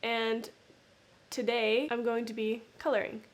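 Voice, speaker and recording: A woman's voice: on the high side, unhurried. A young woman with a stuffy voice from being sick, casually talking through her coloring in a rambling voiceover. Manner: relaxed, rambling with many ums. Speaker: art channel host. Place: home room, indoors. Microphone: camera mic, then voiceover.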